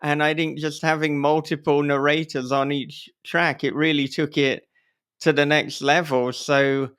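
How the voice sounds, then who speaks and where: A man's voice: on the high side, flat delivery, a little slow, a bit bright, smooth. A man hosting a podcast, interviewing in a casual, chatty and slightly wry way.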